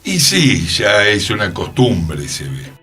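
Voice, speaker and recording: Slow, expressive Argentine man on radio. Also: lowish voice, breathy edge, soft background music. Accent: Rioplatense Argentine Spanish. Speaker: radio show host and columnist. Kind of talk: conversational radio history column.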